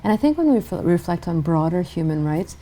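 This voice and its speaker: A middle-aged woman from Guyana speaks as a television interview guest, her voice low and warm-toned. She answers in a measured, thoughtful way, often pausing on an "um" or restarting a phrase. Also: very animated. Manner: measured, with frequent restarts and ums. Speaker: interview guest, human rights director.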